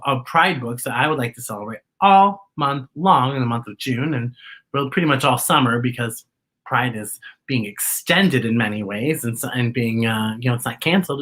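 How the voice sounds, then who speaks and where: A woman hosting a children's story time in a playful, theatrical, sing-song manner. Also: a bass voice. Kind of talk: children's read-aloud show presenting.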